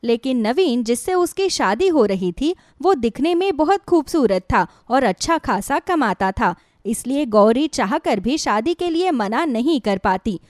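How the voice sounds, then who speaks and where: A woman narrates a scripted moral story as a storyteller. Her voice is high and very expressive, recorded close and dry. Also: measured pace, hardly any pauses, no echo.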